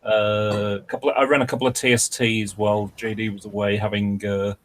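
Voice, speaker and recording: A man in his forties chatting casually as host of an online group call, with a hesitant, halting delivery. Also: fairly low pitch, flat delivery, slight background noise. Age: 40s.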